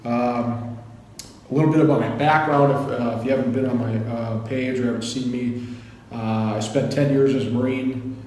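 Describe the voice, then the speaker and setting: A man in his thirties who grew up in Kuwait, a podcast guest who tells his stories with lively acted-out quotes. His voice is mellow and dark. He is heard in an echoey room with a long reverb and some faint noise behind him. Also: medium pitch, moderate pace. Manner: animated storyteller who acts out quotes. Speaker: guest, behavior analysis instructor. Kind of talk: casual podcast storytelling.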